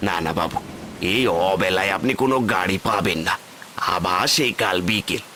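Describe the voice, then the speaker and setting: A man's voice: sits low, moderate pace, bright, forceful tone, a little hiss or hum. A man voicing a humble, earnest poor village porter in a cartoon moral tale, deferential and pleading.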